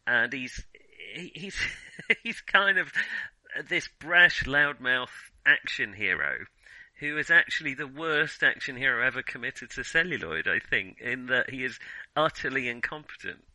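A middle-aged man in a casual unscripted film discussion as a podcast co-host, often halting and correcting himself mid-thought. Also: medium pitch, lively intonation, pushed and bright, dry recording, rough recording. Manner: halting, self-correcting, trailing restarts.

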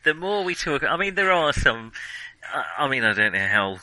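A man co-hosts a casual film discussion with a very bright, forceful voice at a medium pitch. His delivery is excitable and halting, with thoughts tumbling out. The sound is close and dry. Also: no room sound, low-quality recording. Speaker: film podcast co-host.

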